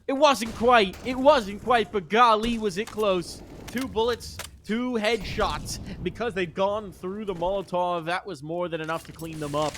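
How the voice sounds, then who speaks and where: A man casting an esports match, jokey and excitable, his voice jumping high on big plays. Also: unhurried, hardly any pauses, a little hiss or hum. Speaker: esports caster. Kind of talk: play-by-play commentary.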